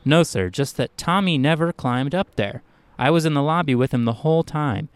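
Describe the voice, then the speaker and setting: A man's voice: fairly high pitch, lively intonation, normal speed, smooth, no room sound, voice pushed up, close to an everyday voice. A man voicing a nervous, defensive employee who protests earnestly and insistently in a spoken short story.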